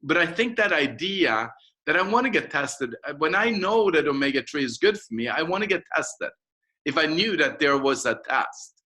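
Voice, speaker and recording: A Norwegian-accented man with a fairly high, bright and forceful voice speaks as a company founder in an interview. He makes his business pitch emphatically, repeating and counting off his points. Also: expressive, moderate pace. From Norway.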